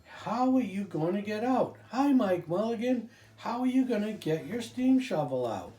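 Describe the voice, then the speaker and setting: Man acting excitable townsfolk, loud and high. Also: normal speed, roomy sound. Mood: demanding. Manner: loud, exclaiming. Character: excited crowd of small-town onlookers, shouting together. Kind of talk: children's storybook read aloud.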